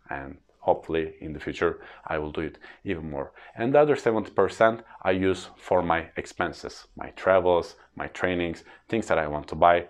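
A man in his thirties with a Turkish accent, explaining personal finance in an earnest, plain way to camera. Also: low-pitched. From Turkey. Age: 30s. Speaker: freelance trainer.

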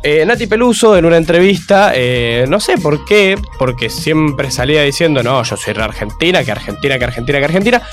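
A man with a Rioplatense Spanish accent on a radio panel, giving strong opinions and animated accounts. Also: on the high side, a little fast, few pauses, faint music behind. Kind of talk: casual radio panel chat.

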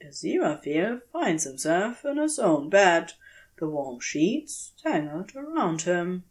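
A woman narrating a scripted story as an audiobook reader, expressive and deliberate, with dramatic pauses. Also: fairly low pitch. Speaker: audiobook reader of fan fiction.

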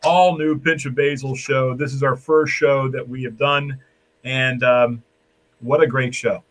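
A man's voice: medium pitch, level tone, a little slow, fairly bright tone, poor audio. Effusive talk show host, a man. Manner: effusive, folksy, loose. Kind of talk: casual talk show hosting.

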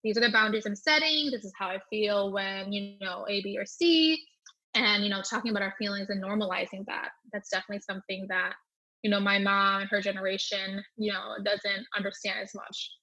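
Bright-voiced young woman, earnest. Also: medium pitch, fairly quick, poor audio. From United States. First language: Thai. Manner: earnest, often ending with "right?". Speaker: guest. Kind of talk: reflective personal interview answer.

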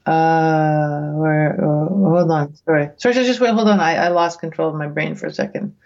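A woman reading research aloud as a guest, with casual, bemused asides, thinking out loud in a conversational way. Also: low-pitched, moderate pace, muffled recording. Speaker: guest reader reacting to research papers.

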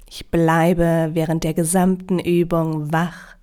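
A woman leading a guided yoga nidra relaxation in a calm, soothing, measured way with long pauses. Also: lowish voice.

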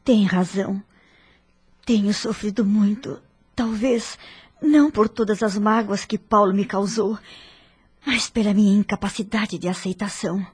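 A woman's voice: mid-range voice, fairly even intonation, measured pace, darkish tone, husky. An actress playing a hurt, dignified middle-aged wife and mother in a radio soap opera, with earnest, formal diction. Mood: wounded, sorrowful.

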